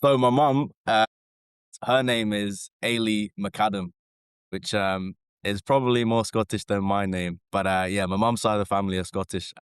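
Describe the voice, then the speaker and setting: A man, a former rugby sevens player, talking casually as a podcast guest in a relaxed, rambling way with lots of fillers. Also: lowish voice, normal speed, clear, resonant tone, no room sound, clean studio sound. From England.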